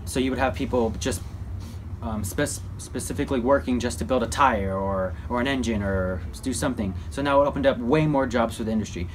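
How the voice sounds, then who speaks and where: A man's voice: medium pitch, a little fast, a little hiss or hum. A man giving an informal mock news report in a folksy, rambling, repetitive way. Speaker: presenter in a student history project.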